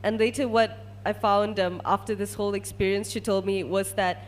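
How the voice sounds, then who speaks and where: A woman's voice: medium pitch, fairly even intonation, moderate pace. A woman from Malaysia, a research scientist, telling a personal story to an audience as a testimonial.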